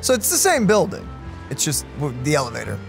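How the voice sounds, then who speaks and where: A man's voice: on the high side, wildly swooping pitch, fairly quick, vocal fry. A man streaming a video game, chatting casually and exclaiming in an easygoing way. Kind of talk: casual let's play chat. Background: faint game music.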